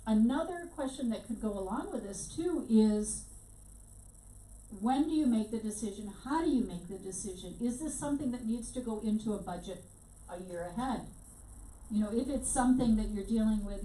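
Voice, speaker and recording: Woman, workshop instructor, chatty lecture, many fillers, mellow, distant mic, roomy echo. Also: on the high side. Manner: conversational, frequent fillers and restarts. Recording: echoey room, mic at a distance.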